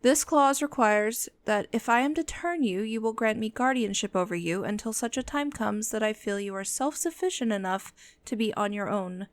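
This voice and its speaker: Woman as a bossy, high-voiced female vampire. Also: no echo, natural voice. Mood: bossy, condescending. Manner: commanding, condescending, unhurried, dry-humoured. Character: bossy, protective female vampire, immortal, businesslike and dryly menacing. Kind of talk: scripted ASMR roleplay audio, addressing the listener.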